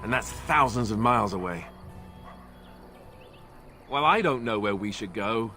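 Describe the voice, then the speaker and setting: An actor playing an earnest young warrior in a fantasy video game, conversational, wry and self-deprecating. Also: male voice, lowish voice, animated, a little slow, sharp tone, soft background music.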